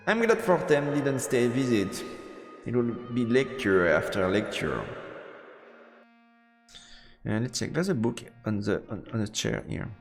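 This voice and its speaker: A man with a creaky voice and very lively pitch reads game text aloud for a live stream, stumbling and repeating words. The room echoes with a long reverb, and game music plays faintly behind him. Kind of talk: live let's-play commentary, reading game text aloud. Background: video game music.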